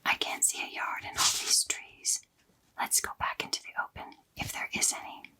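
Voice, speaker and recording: A woman voicing the eldest English schoolboy, steady and sensible, whispering his lines. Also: moderate pace, low-quality recording. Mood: calm.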